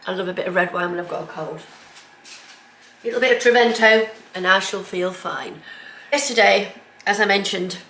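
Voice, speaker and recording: A woman's voice: medium pitch, moderate pace, fairly bright tone. A woman with a cold, chatting casually in her kitchen for a sewing vlog, rambling with lots of ums. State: bunged up with a cold. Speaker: sewing vlogger. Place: home kitchen. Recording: echoey kitchen with faint background noise.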